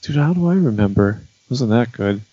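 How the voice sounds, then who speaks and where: A man co-hosting a comedy radio show, skeptical and exasperated, often halting over his words. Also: mid-range voice, lively intonation, warm tone, husky, no room sound, dull audio. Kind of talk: comedic banter.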